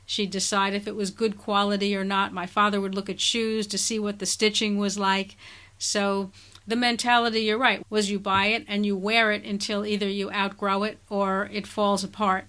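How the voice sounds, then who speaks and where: Bright-voiced woman podcast host. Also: mid-range voice, level tone, normal speed, smooth. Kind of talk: conversational interview.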